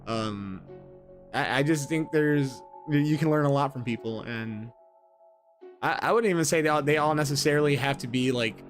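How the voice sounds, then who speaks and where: A man in his twenties talks at a medium pitch, reading aloud and breaking off to comment. He rambles with lots of fillers and repeated words, often joking at his own expense. Music plays clearly beneath his voice. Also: moderate pace. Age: 20s. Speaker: gaming streamer. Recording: music playing underneath.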